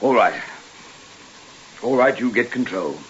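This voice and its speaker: A man's voice: mid-range voice, measured pace. A man acting in an old-time radio drama, in dramatic dialogue. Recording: muffled old radio recording, steady hiss.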